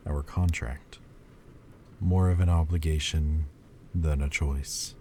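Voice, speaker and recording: A brooding ancient demon lord, as a man acts him out speaks in an extremely low, mellow voice. He talks slowly and haltingly in a roleplay monologue. A faint hum of ambience sits behind him. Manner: slow, quiet, halting confession. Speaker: voice actor.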